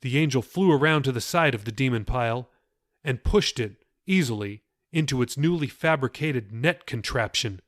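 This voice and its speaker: A man's voice: medium pitch, animated, moderate pace, a bit bright, no room sound, clean studio sound. A man reading his own novel aloud as an audiobook, with dramatic shifts in pace. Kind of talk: audiobook narration.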